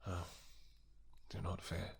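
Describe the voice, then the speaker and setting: A man's voice: takes it slowly, lightly acted. A man playing a tender, possessive vampire king with formal, courtly phrasing in a romantic fantasy audio roleplay. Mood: reassuring, concerned.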